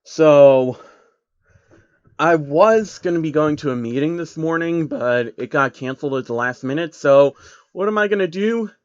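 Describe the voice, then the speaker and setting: A man's voice: fairly high pitch, a little slow, clear, resonant tone, dry recording, dull audio. A man reading a story aloud in an informal, wry way, with amused casual asides. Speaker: narrator reading fan fiction aloud. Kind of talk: casual commentary and amateur storytelling.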